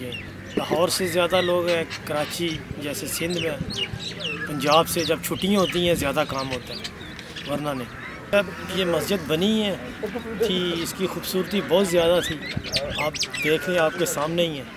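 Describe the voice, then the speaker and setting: A middle-aged man, a street photographer talking earnestly about hard times in an outdoor interview. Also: a little high. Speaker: mosque photographer. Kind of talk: documentary interview. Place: outdoors in a busy mosque plaza. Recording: loud outdoor traffic noise.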